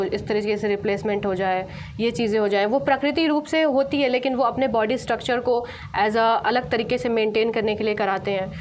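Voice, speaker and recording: A woman's voice: fairly high pitch, a little hiss or hum. A young woman hosting a conversational radio interview, asking wordy, meandering questions.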